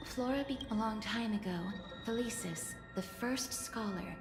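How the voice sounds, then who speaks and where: Game role: a measured, scholarly young woman, slightly high voice, in a roomy mix with clear music behind. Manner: measured, formal and thoughtful. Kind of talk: game voice acting.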